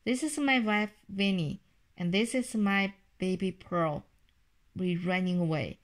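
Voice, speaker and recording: A gentle grown man hiding with his family, calm and reassuring, as a woman reads his lines aloud. He talks slowly and evenly. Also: mid-range voice, close to an everyday voice.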